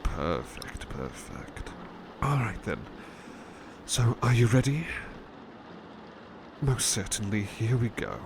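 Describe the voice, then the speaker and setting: A man playing a devoted, gentle butler in a soothing ASMR roleplay, hesitant and polite, fussing and repeating himself reassuringly. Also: lowish voice, sing-song, slow, vocal fry, long pauses, noisy background, full character voice. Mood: attentive, reassuring.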